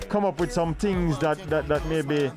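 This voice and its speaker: A Jamaican man works as an entertainment interviewer. His voice sits a little high, and he puts questions in a casual way with patois mixed in. Clear music runs behind him. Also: normal speed.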